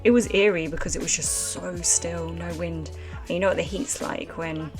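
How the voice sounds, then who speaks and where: Woman streaming a game, kinda low voice, chatty and rambly, lots of likes and ums. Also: fairly even intonation, moderate pace, over music. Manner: rambling, chatty, many fillers like "like" and "um". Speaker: live streamer. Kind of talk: casual let's-play commentary chatting with chat.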